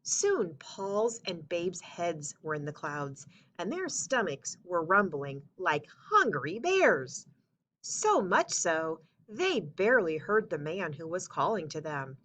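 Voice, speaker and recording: Female storybook reader: bright, very animated, expressive, children's read-aloud style. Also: on the low side, slow pace, a little hiss or hum.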